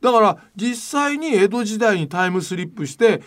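High-voiced, very animated male host. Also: normal speed. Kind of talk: opinionated casual talk show chat.

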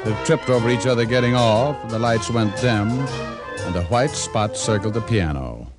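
An actor playing a wisecracking, world-weary private detective in an old radio drama, with a wry, deadpan manner. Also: male voice, on the low side, moderate pace, music in the background, close to an everyday voice. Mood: wry, cool. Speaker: actor narrating and playing the lead. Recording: vintage radio broadcast recording.

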